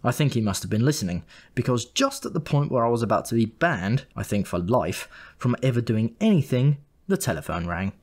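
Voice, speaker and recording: A man's voice: medium pitch, sing-song, brisk, hardly any pauses, darkish tone. A man reading a comic first-person story as an audiobook narrator, in a wry, dry manner.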